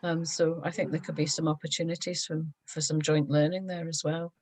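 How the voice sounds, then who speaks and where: A woman speaking for a charity on a video call, measured and agreeable. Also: deep voice, flat delivery, darkish tone.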